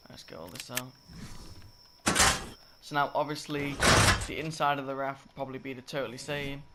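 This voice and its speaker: A croaky, slightly sick young man rambling through a game-building tutorial, often correcting himself. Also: mid-range voice, steady tone, moderate pace. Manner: rambling, self-correcting, filler-heavy. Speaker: gaming tutorial creator. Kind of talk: casual gameplay tutorial commentary. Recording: loud game noise behind. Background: game sound effects and clicks.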